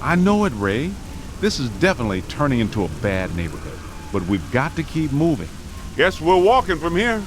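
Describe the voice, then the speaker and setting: Easygoing ghost hunter, high lively voice. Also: male voice, a little fast, background noise. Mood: weary, wry. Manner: colloquial, wry. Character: easygoing, wisecracking working-man ghost hunter, excitable under pressure. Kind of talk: video game dialogue.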